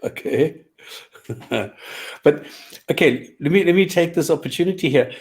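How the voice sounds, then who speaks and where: A man co-hosting a casual talk show, reading out translations and stopping to explain and repeat his points. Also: a little high. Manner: measured, repeats himself, stops to clarify points. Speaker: podcast co-host.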